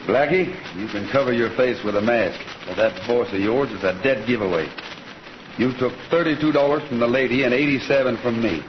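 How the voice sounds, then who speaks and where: A man's voice: mid-range voice, lo-fi sound, lightly acted. Laconic cowboy hero, male actor, noisy old recording. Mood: firm, commanding. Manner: terse, unhurried, folksy. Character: laconic, steady Western cowboy rancher hero. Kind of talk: old-time radio Western acting.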